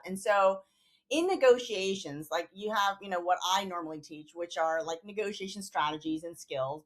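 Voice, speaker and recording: A woman hosting a podcast on negotiation, introducing her guest with enthusiasm and filling her talk with "like". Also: medium pitch. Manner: enthusiastic, fills with "like". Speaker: podcast host, negotiation teacher. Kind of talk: casual podcast interview.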